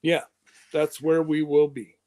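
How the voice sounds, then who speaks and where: A man's voice: on the high side, flat delivery, measured pace, darkish tone. A man in his fifties hosting a live stream, chatting casually in a loose, rambling way. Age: 50s.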